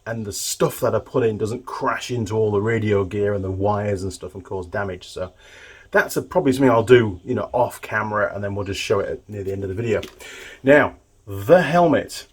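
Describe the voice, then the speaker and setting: A man with a fairly low, husky voice hosts a hands-on workshop vlog. He chats informally and thinks aloud as he works, speaking to the viewer like a mechanic at the bench. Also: brisk. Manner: chatty, informal, talking to the viewer while working. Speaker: motorbike mechanic and video host.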